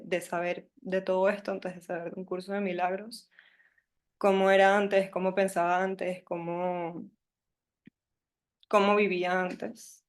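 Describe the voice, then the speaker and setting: A young woman from Caracas with a Venezuelan Spanish accent, telling her life story at a workshop in a hesitant, nervous way, full of fillers. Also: mid-range voice. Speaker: workshop speaker. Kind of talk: personal storytelling talk.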